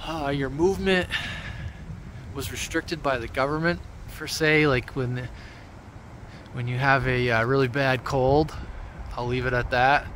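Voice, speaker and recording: A man giving a casual, off-the-cuff how-to explanation outdoors in an informal, rambling, halting way. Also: medium pitch, fairly even intonation, a bit bright. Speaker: hobby radio vlogger. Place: outdoors among trees. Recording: outdoor handheld video with background noise.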